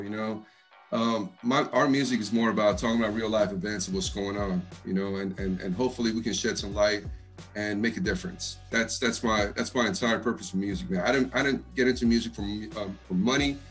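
A deep-voiced rapper kicking back on a music podcast, joking in slang, an American man with East Coast flavor, a track playing underneath. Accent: American with East Coast touch. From Texas, United States. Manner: slangy, easygoing, jokey. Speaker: hip hop artist, interview guest. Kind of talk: casual chat.

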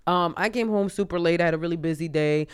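Fast-talking New York woman, smooth. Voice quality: deep voice. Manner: animated storyteller acting out voices. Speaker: comedian, podcast host. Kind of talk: casual chat with storytelling.

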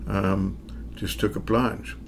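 A lowish-voiced man in his sixties tells stories about his career as a magician. His speech is hesitant and reflective, full of pauses and fillers, with occasional chuckles. Faint background noise sits under the conversation. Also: flat delivery, creaky. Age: 60s. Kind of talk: relaxed storytelling in an interview.

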